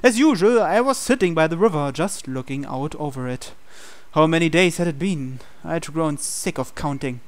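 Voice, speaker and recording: Clear, higher-voiced man narrating dramatically. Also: lively intonation, moderate pace. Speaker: playthrough narrator. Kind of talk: dramatic scripted narration, reading visual novel text aloud.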